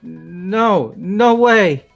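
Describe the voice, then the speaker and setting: Man acting an excitable, high-pitched young guy. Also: drawn-out words, vocal fry, dull audio, soft background music, heavily acted. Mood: shocked denial. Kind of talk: fan dub of a visual novel game.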